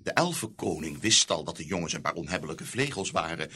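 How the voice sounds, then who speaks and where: Deep, lively male storyteller. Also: brisk, slightly rough. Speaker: narrator. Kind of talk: expressive storybook narration.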